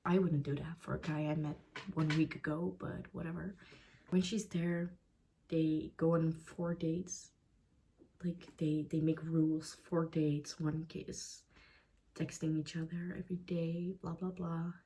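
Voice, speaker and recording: A woman's voice: low voice, little pitch movement, unhurried, mellow. Young Dutch woman ranting about a book. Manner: chatty, blunt, swears, often drops to a whisper. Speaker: book vlogger. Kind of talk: casual book review rant.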